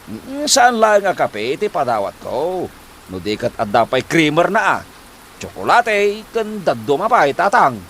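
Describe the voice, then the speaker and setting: An actor playing a scheming husband who coaxes his wife to work abroad, speaking with an Ilocano accent in a lively, pushy way. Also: fairly high pitch, unhurried, slight background noise, exaggerated voice. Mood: boasting. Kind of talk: comedy drama acting.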